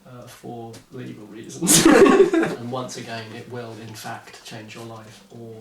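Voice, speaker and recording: A man co-hosting a comedy show with a casual, sarcastic mock sales pitch, often laughing through his words. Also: lively intonation, slow, crowd noise. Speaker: show co-host promoting books. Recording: roomy echo, distant microphone.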